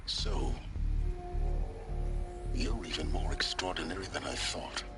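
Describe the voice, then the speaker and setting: Game role: commanding, sardonic mentor, very low voice, dry delivery, heavy music underneath. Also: male voice, steady tone, slow, heavily acted. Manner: dry, articulate, biting. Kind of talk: scripted game dialogue. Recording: loud music behind voice.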